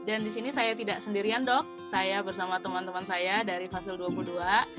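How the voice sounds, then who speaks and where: A woman's voice: medium pitch, steady tone, fairly quick, over music. A young woman student moderating an online interview, polite and structured with light filler words. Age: young adult. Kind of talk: formal event moderation. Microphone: video call.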